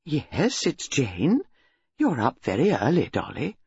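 A reader voicing Miss Marple, a prim, gentle elderly English spinster who speaks mildly and hesitantly. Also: mid-range voice, wildly swooping pitch, slow, breathy edge, no room sound, muffled recording, full character voice.

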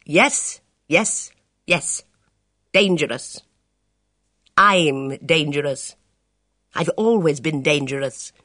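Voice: medium pitch, animated, dry recording, studio quality, exaggerated voice. A reader voicing a bold, reckless middle-aged woman who speaks sharply and commandingly. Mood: imperious. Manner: brisk, imperious, sharp.

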